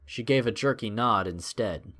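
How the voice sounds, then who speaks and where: A man narrating a scripted adventure story in a measured, expressive, dramatic storytelling style. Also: lowish voice.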